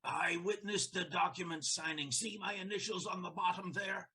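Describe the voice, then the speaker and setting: An actor playing a scheming, vengeful doctor in an audio play, sounding defensive and self-righteous. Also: male voice, sits high, fairly even intonation, moderate pace, pushed and bright, a little hiss or hum.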